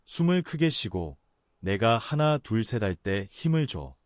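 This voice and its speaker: A man voicing a gentle, kind young male tiger in a bedtime story, speaking low, quiet and earnest. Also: big pitch swings, unhurried, no room sound. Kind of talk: storybook voice acting.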